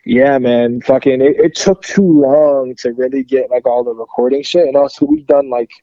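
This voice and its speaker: A man's voice: medium pitch, steady tone, normal speed, dry recording, dull audio. A young man in his early twenties from Detroit, a rapper answering interview questions in an easygoing way, hesitating often with fillers and slang. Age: 20s. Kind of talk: casual phone interview chat.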